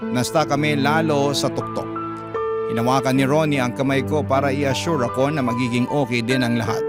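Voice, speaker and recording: A man with a Filipino accent reading a scripted, dramatic love story as a radio host and narrator. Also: medium pitch. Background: prominent music.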